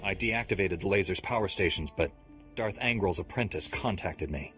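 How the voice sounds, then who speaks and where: Deep-voiced, earnest Jedi Knight. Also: male voice, moderate pace, pushed and bright, soft background music. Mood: grave. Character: earnest, stoic young male Jedi Knight hero. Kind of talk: video game cutscene acting.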